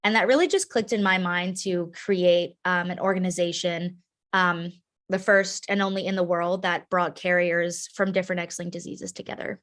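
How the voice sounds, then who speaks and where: Young adult woman, slightly low voice, earnest conversational webinar speaker. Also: steady tone, moderate pace, a bit bright. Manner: earnest, conversational, frequent fillers. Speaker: carrier advocate, organization founder. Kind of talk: webinar talk.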